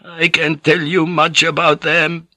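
Brief: male narrator voicing a feverish old friar, breathless ranting, bright tense tone, slow, dry close sound. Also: fairly high pitch, flat delivery, no echo, voice pushed up.

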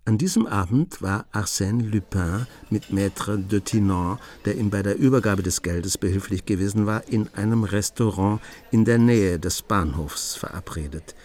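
Ein Mann erzählt ruhig und gemessen die Handlung eines Hörspiels. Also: male voice, lowish voice. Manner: calm, measured storytelling. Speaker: narrator. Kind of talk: scripted narration.

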